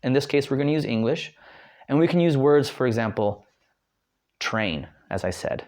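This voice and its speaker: A man's voice: mid-range voice, lively intonation, normal speed, darkish tone. A young American man from Detroit giving a casual spoken lesson, chatty, with many fillers and restarts. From Detroit, United States. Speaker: guest language learner.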